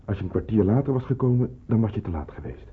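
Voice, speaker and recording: An actor playing a grave, careful man who secretly arranges wartime hiding in a Dutch radio play, giving deliberate instructions. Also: lowish voice, expressive, slightly rough. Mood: grave, relieved. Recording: muffled, phone-like old radio recording.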